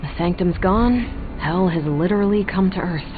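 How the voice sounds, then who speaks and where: An actress playing a serious, pragmatic hero in a video game, speaking bluntly and tensely. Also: female voice, fairly low pitch, moderate pace, phone-quality sound, over music, natural voice. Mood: worried, frustrated.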